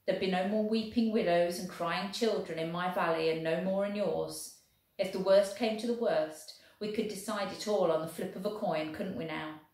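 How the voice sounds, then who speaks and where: A woman's voice: on the low side, normal speed, a little mellow, heavy reverb, natural voice, poor audio. A woman reading aloud, voicing a little Welsh soldier with a Welsh accent, earnest and folksy. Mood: warm. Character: little Welsh soldier, warm and plain-spoken.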